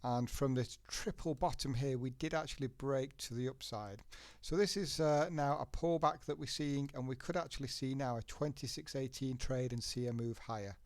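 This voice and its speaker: A man's voice: medium pitch, steady tone, normal speed, no echo. A man with a head cold and a blocked nose, hosting a live trading webinar and walking through charts step by step in a chatty way. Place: working from a laptop in a home setting. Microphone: laptop.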